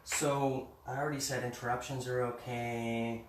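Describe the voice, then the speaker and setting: Calm man speaking in an echoey room. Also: mid-range voice. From Mexico City. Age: 40s. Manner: gentle, unhurried, reads poem slowly. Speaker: communication trainer. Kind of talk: relaxed workshop talk with a poetry reading. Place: meeting room with a live group. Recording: roomy, distant, faint hiss.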